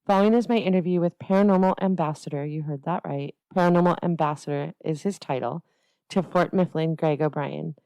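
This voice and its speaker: A woman hosting a history podcast, reading a scripted narration in an even storytelling manner. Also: low-pitched, a little fast, no room sound, rough recording. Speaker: podcast host. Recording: dry close mic over faint music.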